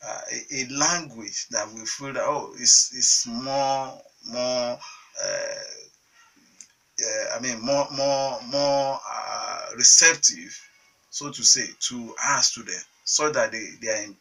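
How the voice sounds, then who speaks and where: A man's voice: mid-range voice, slow pace, vocal fry, sharp tone, distant mic, rough recording. A Nigerian man, a teachers' association chairman, answering earnestly in a TV interview with many restarts and fillers.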